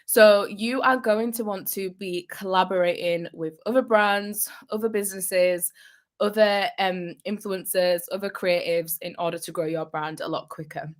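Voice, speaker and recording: A woman's voice: medium pitch, fairly even intonation, normal speed. A young adult woman, a business coach, giving upbeat, encouraging advice on a live vlog and repeating phrases for emphasis.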